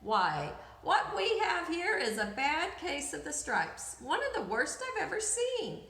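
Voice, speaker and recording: A woman voicing a plump, sweet old woman in a storybook, speaking brightly and with gentle confidence. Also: extremely high pitch, wildly swooping pitch, unhurried, reverberant, pitched above the performer's own voice, heavily acted. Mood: bright, helpful.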